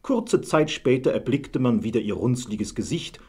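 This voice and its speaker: A man narrating a scripted fairy tale as a measured storyteller. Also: medium pitch, lively intonation, dark tone, husky.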